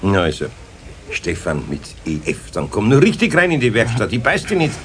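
A man playing a patient, gruffly kind older carpenter with a husky voice and Bavarian accent, admonishing in a homely way in a radio play. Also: on the low side, huge pitch swings, brisk, very noisy. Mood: friendly, firm. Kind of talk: 1970s German children's radio play acting.

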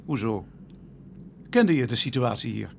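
An actor playing a world-weary police inspector in a radio play, with a dry, teasing, sighing manner. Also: male voice, mid-range voice, expressive, measured pace, creaky, a little mellow, slight background noise. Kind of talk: Dutch radio play acting.

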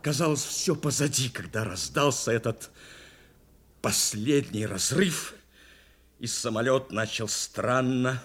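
An actor playing a grave, intense bomber crewman who recalls a wartime raid in measured, dramatic radio-play narration. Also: medium pitch, husky. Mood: urgent, dramatic. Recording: 1970s radio drama with loud music bed.

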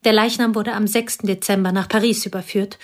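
A woman playing a reserved schoolteacher, the dead wife's confidante, in a radio play, speaking in a calm, measured way. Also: medium pitch, natural voice. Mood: restrained. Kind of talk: radio drama acting.

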